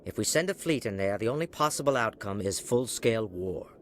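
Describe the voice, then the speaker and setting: An actor playing a cautious, skeptical alien councilor in a video game, formal and emphatic, with music behind him. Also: male voice, lowish voice, lively intonation, fairly quick, a little hiss or hum, natural voice. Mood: stern, cautious.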